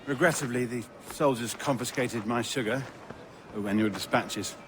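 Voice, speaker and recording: An actor playing a timid, genteel gentleman merchant with an English accent, polite and hesitant, in a video game. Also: medium pitch, moderate pace, background noise, close to an everyday voice. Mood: polite, resigned. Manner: polite, hesitant, mildly pompous. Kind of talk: video game cutscene acting.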